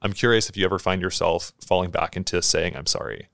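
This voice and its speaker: A man hosting a podcast with a very low voice. He speaks in a measured, thoughtful way and asks his guests reflective questions. He also introduces the segments of the show. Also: fairly even intonation, creaky, fairly bright tone. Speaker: podcast host.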